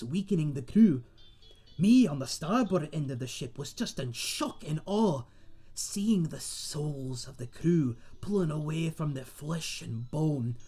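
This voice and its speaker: A man's voice: a little high, dark tone, husky. A man playing a friendly, rambling tavern owner and seasoned adventurer, telling tales to one listener in a folksy, chatty way in a fantasy audio roleplay. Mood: dramatic, suspenseful. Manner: chatty, folksy, dramatic pauses, calls listener mate.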